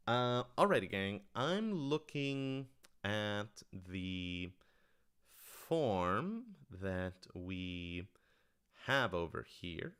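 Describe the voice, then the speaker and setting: Smooth, low-pitched man on a livestream. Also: fairly bright tone. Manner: rambling, thinking aloud. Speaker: writer-director hosting a livestream Q&A. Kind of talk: casual livestream chat to an audience.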